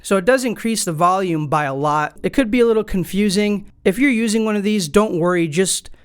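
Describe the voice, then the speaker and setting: A man's voice: high-pitched, a little fast, smooth. A man hosting his own music channel, casually explaining equipment settings step by step. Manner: relaxed, explains step by step with fillers. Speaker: music gear channel host. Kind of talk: casual gear tutorial.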